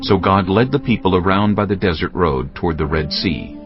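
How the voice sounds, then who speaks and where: A male narrator with a very low voice reads scripture solemnly and with measured phrasing. The recording sounds somewhat dull, and music plays softly behind him.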